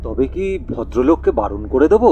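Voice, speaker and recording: A man's voice: mid-range voice, expressive, moderate pace, warm tone, faint music behind, lightly acted. A man playing a plain-spoken portrait painter in an audio story, with brief, direct lines. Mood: anxious. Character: professional male portrait painter, plain-spoken, devoted disciple.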